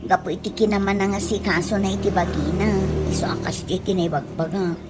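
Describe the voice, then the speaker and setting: A woman's voice: mid-range voice, steady tone, fairly quick, over loud music, lightly acted. A woman with an Ilocano accent playing a touchy, boastful, whiny woman in a comedy radio drama. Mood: sheepish, remorseful. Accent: Ilocano, from the Philippines.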